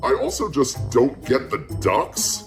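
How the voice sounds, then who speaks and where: A man's voice: mid-range voice, wildly swooping pitch, a little slow, music in the background. A man playing a disappointed, unimpressed bar customer in a theatrical dramatic reading of an online review.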